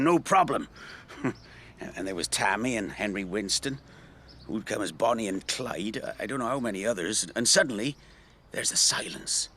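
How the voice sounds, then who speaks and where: Animated older British village man, low-voiced. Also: exaggerated voice. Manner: chatty, chuckling, wistful reminiscing. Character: warm nostalgic older village man, cheerful storyteller. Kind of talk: naturalistic radio drama acting.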